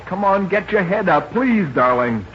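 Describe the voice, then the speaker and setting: Flustered, exasperated man, very animated. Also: high-pitched, moderate pace. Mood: alarmed, urgent. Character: exasperated, flustered young man secretly sheltering a stowaway woman. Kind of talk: 1940s radio drama acting. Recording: muffled, noisy 1940s radio recording.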